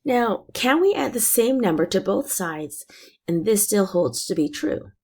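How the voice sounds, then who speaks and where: A woman teacher explaining a math lesson step by step, her voice raspy and hoarse from being sick. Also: medium pitch, sing-song, unhurried, a little mellow. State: hoarse from illness.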